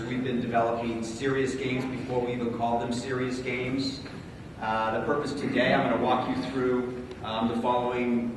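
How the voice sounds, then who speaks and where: A man with a big voice giving a conference talk, speaking casually with many fillers and calling on the audience for answers. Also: mid-range voice. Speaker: conference presenter. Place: large conference hall. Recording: echoey hall, distant mic, room noise.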